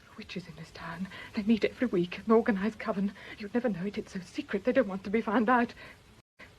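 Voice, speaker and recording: An actress with a British accent playing a frightened, desperate wife confessing in a radio drama, faltering and urgently pleading. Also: female voice, medium pitch, fast, darkish tone, lo-fi sound, natural voice. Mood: fearful, insistent.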